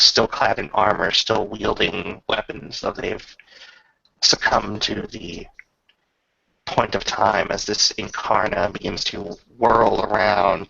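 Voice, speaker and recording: A man improvising dramatic, descriptive narration as game master of a tabletop roleplaying game, pausing between phrases. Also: lowish voice, creaky, sharp tone, lo-fi sound.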